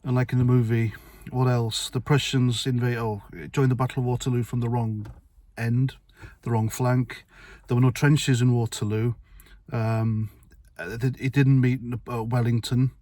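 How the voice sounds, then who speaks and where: A man's voice: medium pitch, flat delivery, measured pace, warm tone, no echo. Blunt, rambling middle-aged man. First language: Welsh. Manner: blunt, rambling, sweary, with restarts. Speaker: vlogger. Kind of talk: casual opinion monologue to camera.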